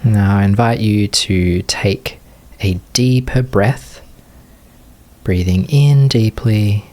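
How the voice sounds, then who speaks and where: Male meditation teacher, low register, very slow delivery, short phrases separated by long pauses. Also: a little mellow. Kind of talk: guided meditation, unscripted gentle instruction.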